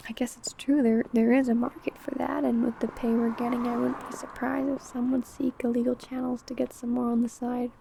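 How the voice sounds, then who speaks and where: A secretive vampire coworker, acted out by a woman in an ASMR roleplay. Her voice sits fairly high yet stays soft and mellow, with a creaky edge. She talks close and hushed, coy and sly, as if sharing gossip with you alone. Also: level tone, fairly quick, few pauses, a little hiss or hum, poor audio. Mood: musing. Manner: hushed, chatty, coy, drifting into whispers. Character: secretive female vampire coworker, older senior, sly and possessive. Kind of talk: ASMR roleplay addressed to the listener.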